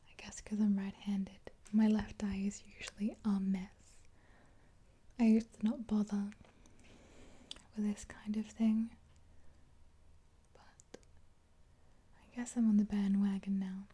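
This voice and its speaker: A woman in her twenties whispering gently and unhurriedly in a casual, rambling ASMR roleplay, close to the mic. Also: mid-range voice, level tone, slow, pauses often. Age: 20s. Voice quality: breathy whisper. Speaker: ASMR roleplay performer as makeup artist. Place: small indoor room, dark backdrop.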